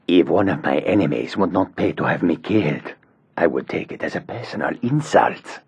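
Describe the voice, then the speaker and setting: An actor playing a boastful, menacing cat-folk criminal in a fantasy video game, theatrical and smugly mock-courteous. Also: male voice, sits low, breathy edge, no room sound, muffled recording, full character voice. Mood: proud, mocking.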